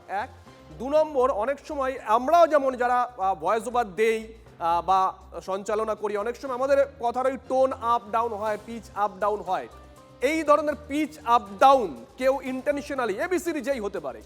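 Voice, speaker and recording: Guy hosting news panel, really high voice, emphatic short phrases, music under him. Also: expressive, normal speed, fairly bright tone. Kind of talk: live TV news debate moderating. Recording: long hall reverb, music underneath.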